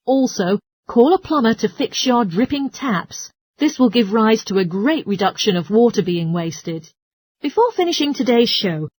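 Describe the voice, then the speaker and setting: Female presenter for an instructional radio-style talk: fairly high, slightly husky voice, explanatory delivery. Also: no room sound. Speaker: presenter of a listening-test talk.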